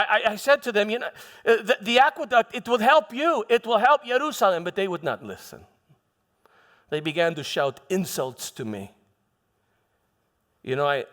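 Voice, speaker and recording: A man playing a proud, defensive Roman prefect and soldier in a dramatic monologue, halting and repeating words as he tells his story theatrically. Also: a little high, a bit bright, close to an everyday voice. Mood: proud, defiant. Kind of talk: first-person church dramatic monologue. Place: church, live dramatization. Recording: reverberant hall.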